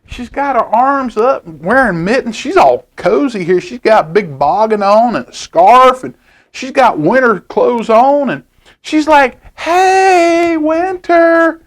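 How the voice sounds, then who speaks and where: A man's voice: high voice, expressive, measured pace. Library storytime reader, male, chatty and question-filled. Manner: chatty, asks children questions, repeats words. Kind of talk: reading a picture book to children.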